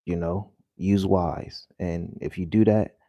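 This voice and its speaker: Deep-voiced man rambling just after waking. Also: steady tone, takes it slowly, warm tone, no echo, highs cut off. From United States. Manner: rambling, loose and conversational with many fillers. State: just woke up, voice deeper than usual. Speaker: vlogger. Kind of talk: casual vlog talk to viewers. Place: home in the early morning.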